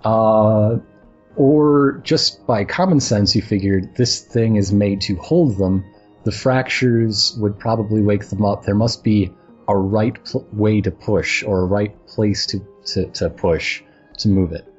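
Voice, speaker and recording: Man, husky, game master, halting casual narration, dull muffled recording, music underneath. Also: on the low side.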